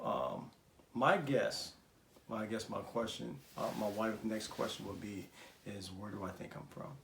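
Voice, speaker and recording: A man's voice: on the low side, gravelly. A man in his thirties talking casually and playfully with his wife, joking as he goes. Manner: playful, animated, jokes and laughs. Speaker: husband and guest. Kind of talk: casual conversation. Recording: echoey room with faint noise.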